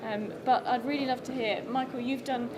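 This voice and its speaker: Female live-stream host, high-ish voice, quick delivery, welcoming; heavy background noise. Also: steady tone. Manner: bright, welcoming, chatty. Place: conference venue.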